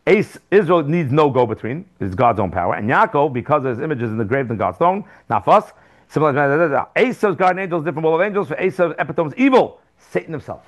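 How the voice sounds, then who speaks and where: A rabbi giving a lively Torah class in a classroom, asking rhetorical questions with sudden jumps in pitch and loudness. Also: male voice, fast talker. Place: classroom with students.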